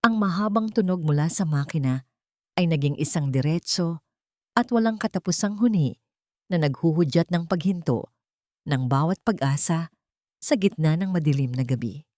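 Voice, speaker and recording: A woman narrates a scripted dramatic story in a solemn, theatrical manner with measured phrasing. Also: deep voice, expressive, mellow, dry recording, clean studio sound.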